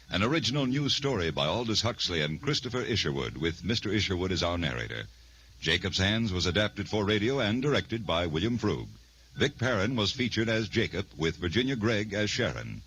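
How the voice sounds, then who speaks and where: A man works as a radio announcer in a formal mid-century style. His voice is on the low side, delivering scripted copy. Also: fairly bright tone, slight background noise.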